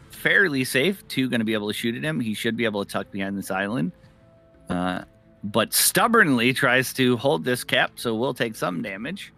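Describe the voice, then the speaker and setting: A man's voice: medium pitch, creaky, bright, forceful tone. A man giving live video game commentary, phrasing emphatically and repeating words for stress. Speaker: esports commentator. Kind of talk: live video game tournament play-by-play and analysis. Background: music.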